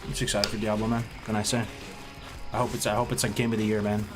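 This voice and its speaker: A man chats loosely to his viewers from a home streaming setup, his voice stuffy and congested from a cold. His pitch sits on the low side, and he often stumbles and restarts mid-sentence. Background music plays clearly behind him. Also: little pitch movement, fast talker. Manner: rambling, restarts often, gamer slang. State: congested, sniffly. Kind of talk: casual live-stream chat to viewers.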